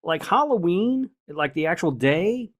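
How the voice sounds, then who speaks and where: A man's voice: high voice, sing-song, a little slow, dull audio. A middle-aged man co-hosting a podcast, chatting casually. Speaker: podcast co-host. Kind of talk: casual chat.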